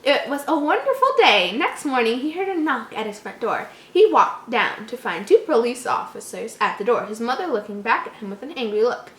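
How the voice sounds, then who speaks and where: A woman's voice: on the high side, sing-song, fairly quick, hardly any pauses, fairly bright tone. Woman reading scary story w/ sarcastic exasperated asides, really up and down voice, roomy echo. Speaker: storyteller and commentator. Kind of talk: reading a horror story aloud with mocking commentary. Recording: roomy echo, faint background noise.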